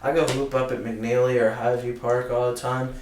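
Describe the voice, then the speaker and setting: A man's voice: medium pitch, level tone, normal speed, recorded from a distance. A twenty-year-old man, laid-back and swearing casually in podcast chat. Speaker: podcast co-host. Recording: roomy, chatter behind.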